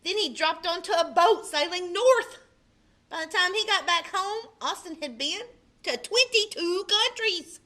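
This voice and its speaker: Bright-voiced woman reading a storybook aloud. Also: very high-pitched, a little slow. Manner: expressive, puts on character voices in quotes. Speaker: librarian reading a picture book aloud.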